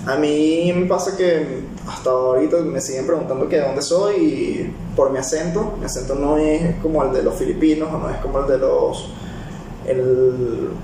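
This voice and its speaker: Venezuelan male barista, casual rambler, distant mic with steady noise behind. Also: on the high side, normal speed, heavy reverb. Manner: rambling, many fillers and restarts. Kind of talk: casual personal talk.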